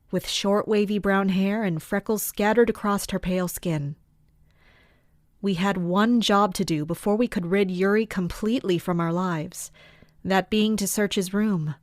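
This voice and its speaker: A woman playing a composed, wry captain of mercenaries, narrating and talking in a measured, matter-of-fact way in an audio drama. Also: medium pitch, a little mellow, no echo, studio quality, lightly acted. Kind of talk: first-person narration and dialogue in an audio drama.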